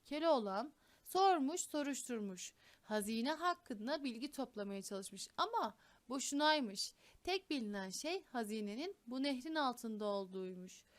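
A woman reading fairy tales to children in an expressive storyteller's style. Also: fairly high pitch, measured pace. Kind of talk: expressive scripted fairy-tale narration for children.

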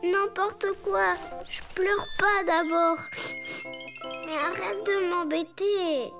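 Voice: very high-pitched, fairly even intonation, slow, vocal fry, exaggerated voice. A performer voicing a little bear cub boy in a preschool cartoon, childlike, simple and prone to whining. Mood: sulky, tearful. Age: child character. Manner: childlike, simple, whiny when upset. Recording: music behind the voice.

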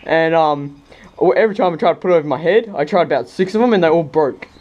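A man making a phone call, speaking in a hesitant, halting way. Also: fairly high pitch. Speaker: prank caller. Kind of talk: phone conversation. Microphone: recorded beside a phone on speaker. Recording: faint background noise.

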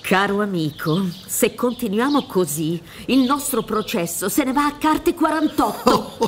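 A highish-voiced, scolding lawyer. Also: female voice, lively intonation, a little hiss or hum. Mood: confidential. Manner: emphatic, admonishing, deliberate. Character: worldly, scolding, theatrical retired defence lawyer. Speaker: actor. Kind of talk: radio drama acting, read from script. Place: theatre stage set up for radio play. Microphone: suspended studio microphone with headphones.

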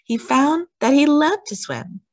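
A woman reading a children's storybook aloud as a storytime host, with expressive, emphatic delivery. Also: high voice, dry recording. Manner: animated, emphatic delivery with drawn-out words.

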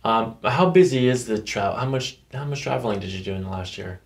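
A man in his thirties with a low, creaky voice talks like a clinician at work. He goes step by step, checking in with questions and explaining the exam as he does it. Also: a little fast. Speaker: chiropractor. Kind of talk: clinical patient consultation and exam commentary. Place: small carpeted chiropractic clinic room and hallway.

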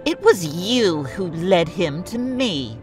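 A woman acts out a defiant female warrior with a dark past in an audio drama. She speaks slowly and deliberately, insolent and sardonic. Music sits faintly behind her. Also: lowish voice, very animated. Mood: angry, defensive. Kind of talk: fan audio drama acting.

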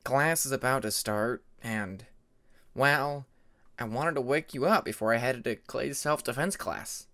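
A man's voice: mid-range voice, unhurried, sharp tone. A man voicing a friendly, curious young male dragon classmate with a casual, easygoing way of talking. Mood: apologetic.

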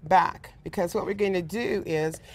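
A woman's voice: lowish voice, moderate pace, slight background noise. A middle-aged woman giving a casual, step-by-step cooking demonstration as a guest cook in a studio kitchen. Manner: instructive, step by step.

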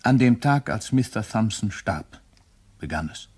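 An actor playing a bold household employee in a crime radio play, respectful on the surface but insinuating. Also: male voice, on the low side, animated, measured pace, a little mellow, husky.

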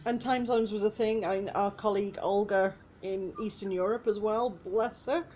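A woman's voice: medium pitch, steady tone, normal speed, darkish tone. A woman chatting casually as a guest cast member in a video-call interview. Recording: faint background noise on video call.

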